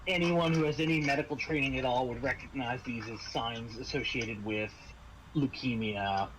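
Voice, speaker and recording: A man narrating a tabletop roleplaying game as game master, halting and pausing mid-phrase. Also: medium pitch. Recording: noticeable background noise.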